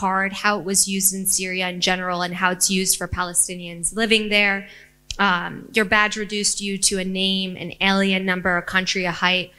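A woman interviewer setting up and asking prepared questions in a measured way. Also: medium pitch, level tone, pushed and bright, clean tone. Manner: measured, reading set-up before asking.

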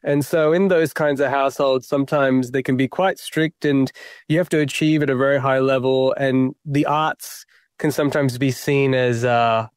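A young Australian man talks about his writing in a smooth, resonant voice. He is reflective and self-deprecating, thinking aloud with many fillers. The sound is close and dry. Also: mid-range voice, fairly even intonation, normal speed, dry recording. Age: young adult. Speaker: playwright guest. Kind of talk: interview.

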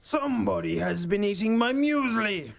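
A woman's voice: mid-range voice, animated, normal speed, voice pushed up. A woman putting on a growly papa koala. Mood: grumbling. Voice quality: growly, grumbly. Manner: bellowing, gruff storybook voice. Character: big grumpy male koala father.

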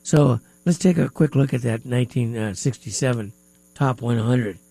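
A man hosting a live music talk radio show in a studio, sounding stuffy-nosed and pausing often with fillers. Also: mid-range voice, vocal fry, warm tone, no echo. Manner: hesitant, many filler pauses. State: stuffy nose. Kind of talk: casual talk radio chat.

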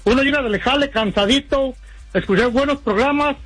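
A man phones in to a radio sports show, his voice very high and husky. He rambles casually and trips over his words, and the sound is close and dry with a faint music bed underneath. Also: moderate pace, a bit bright, no room sound, low-quality recording. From Mexico. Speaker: caller. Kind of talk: casual call-in chat.

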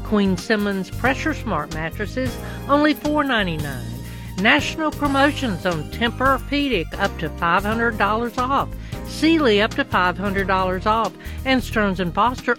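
A woman reads a radio store commercial at mid pitch, upbeat and salesy. Clear music plays behind her. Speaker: commercial announcer. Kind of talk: radio ad read. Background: music bed.

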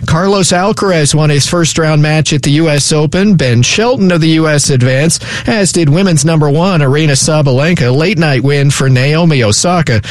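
Brisk male sports newscaster. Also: on the high side, no room sound. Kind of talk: sports news roundup.

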